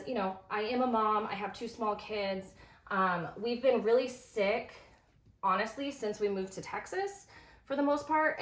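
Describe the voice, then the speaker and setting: Chatty young woman, slightly stuffy. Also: mid-range voice. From California. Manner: rambling, many fillers and restarts. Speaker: vlogger, reborn doll collector. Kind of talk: casual chat while dressing a doll.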